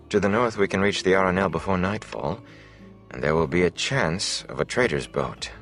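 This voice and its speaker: A man voicing a calm, commanding sorceress who speaks in a measured, brooding, authoritative way in an audiobook. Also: deep voice.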